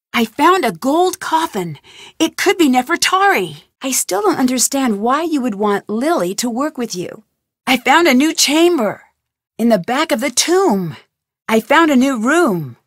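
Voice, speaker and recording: A bright, inquisitive young girl detective for a mystery game, her voice sitting a touch high and swinging freely up and down as she muses and questions. It is recorded close and dry in a studio, with no room sound around it. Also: no echo. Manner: inquisitive, thinking aloud while investigating. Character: young female amateur detective, curious and persistent. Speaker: game protagonist. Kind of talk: game voice acting. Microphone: studio microphone.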